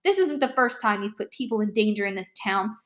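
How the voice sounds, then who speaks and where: A woman's voice: medium pitch, expressive, fairly quick, fairly bright tone, natural voice. A woman voicing an exasperated, accusing male car wash manager in a storybook read-aloud. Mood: angry, accusing. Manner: indignant, incredulous.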